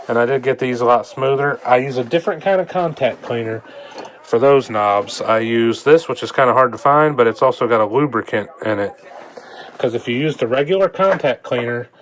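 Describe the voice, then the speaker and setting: A man with a medium-pitched voice talks casually through a hands-on repair job. He thinks aloud in an informal, folksy way. Noticeable noise sits behind his voice. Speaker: hobbyist repair vlogger. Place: indoors at a repair workbench. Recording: clear steady background noise.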